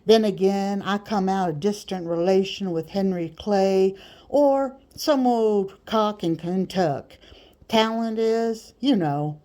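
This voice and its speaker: A woman's voice: medium pitch, slow pace, mellow, lightly acted. A woman voicing a sly, boastful male slave catcher with rustic grammar in an audiobook. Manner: rustic nonstandard grammar, gleefully bragging. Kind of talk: audiobook character voice.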